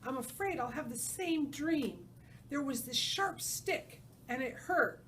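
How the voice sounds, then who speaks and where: A woman's voice: high voice, lively intonation, slow, fairly bright tone, distant mic, slight background noise, voice pushed up, full character voice. A woman voicing a young female elephant in a storybook, innocent and frightened, with hesitant, pleading, childlike questions. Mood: shaken, sad.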